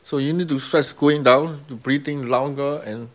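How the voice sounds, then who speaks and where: A man's voice: medium pitch, steady tone, normal speed. A man with a Burmese accent, a meditation teacher speaking simple, broken English and often checking with "right?". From Myanmar. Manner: broken English grammar, repeats key words, asks "right?". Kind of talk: teaching dialogue with students.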